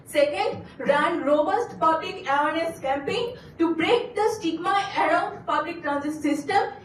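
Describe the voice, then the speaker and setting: A woman from Bangladesh, a graduate student giving an earnest competition pitch, stumbling and restarting now and then. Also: measured pace, echoey room, distant mic, a little hiss or hum. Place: auditorium.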